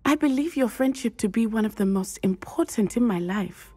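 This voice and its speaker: Loyal, brave schoolgirl witch for a game: lively intonation, slightly breathy, formal and precise diction. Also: on the high side, moderate pace. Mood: warm, grateful. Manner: formal, precise phrasing, few contractions. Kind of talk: scripted video game cutscene acting.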